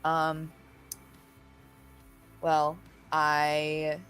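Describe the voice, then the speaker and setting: A woman's voice: low voice, monotone, extremely slow, fairly bright tone, clear, resonant tone, rough recording. A woman chatting casually as a player in a role-playing game, questioning and thinking aloud. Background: music in the background.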